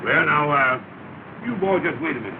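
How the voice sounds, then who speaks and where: An actor playing a smooth-talking, scheming lodge leader who uses fancy words in an old film comedy. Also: male voice, fairly high pitch, expressive, a little fast, lightly acted. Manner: slick, long-winded, fancy words. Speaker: comic schemer in a 1930 film. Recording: scratchy, tinny, hissy 1930 film sound.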